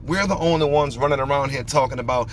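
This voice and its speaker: Man, podcast host, emphatic preaching tone, rhetorical questions, mid-pitched voice, faint noise behind. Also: fast talker.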